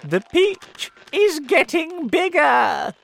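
A reader voicing a shrill, spiteful, bossy old aunt in a storybook reading, shrieking high and loud. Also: wildly swooping pitch, very slow, exaggerated voice. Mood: shrill, excited.

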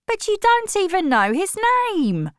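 A woman's voice: a little high, big pitch swings, a little slow, fairly bright tone, clear, resonant tone, no echo, clean studio sound, pitched above the performer's own voice. A woman reading the part of an earnest sixteen-year-old brother in a storybook. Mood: stern. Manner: earnest, protective. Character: young man of sixteen, blunt and protective.

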